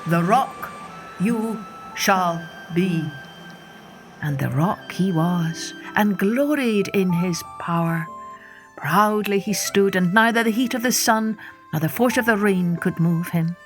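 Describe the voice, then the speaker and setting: A woman narrates like a storybook host, expressive and carefully measured. Her delivery is very slow, with a medium-pitched voice. Music plays clearly behind her.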